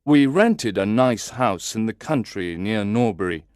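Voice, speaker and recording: A man narrating a first-person story in a slow, deliberate, carefully enunciated way, as if for language learners. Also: on the low side, expressive, a little mellow, no echo, studio quality.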